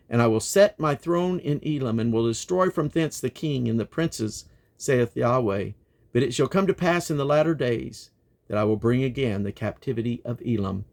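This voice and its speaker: A man reading scripture aloud in a solemn, declamatory manner, with measured phrasing and plainly spoken references. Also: medium pitch. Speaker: Bible reader and host.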